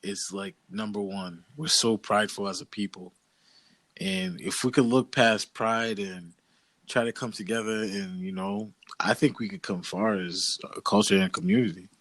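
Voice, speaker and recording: A man's voice: fairly low pitch, normal speed, a bit bright, dry recording. A young man from Boston, co-hosting a podcast and telling stories in a loose, slangy, casual way. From Boston, USA. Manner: laid-back, loose, slangy storytelling. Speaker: podcast co-host. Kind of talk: casual chat.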